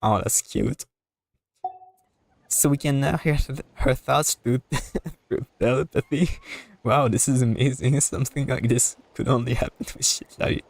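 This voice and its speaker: A man giving casual live commentary as a game streamer, rambling and halting as he repeats words. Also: mid-range voice, vocal fry, no room sound.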